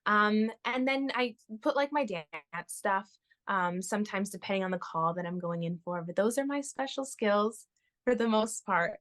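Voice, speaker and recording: A woman's voice: medium pitch, normal speed, a bit bright. A young woman from Florida is a guest on a podcast. She talks in a chatty, upbeat way and laughs a lot. Her voice sits in an ordinary middle range. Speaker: guest, touring musical theater performer. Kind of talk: casual interview chat.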